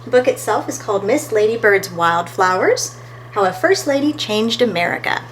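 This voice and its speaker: A woman's voice: medium pitch, lively intonation, normal speed, slight background noise, poor audio. A woman reading a picture book aloud as a storytime host, expressively and talking to her listeners between pages.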